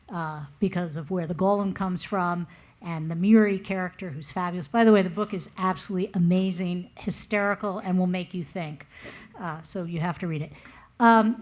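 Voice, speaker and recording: A woman in an audience asking a question in a chatty, informal way at a live talk. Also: medium pitch. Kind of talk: casual spoken audience question.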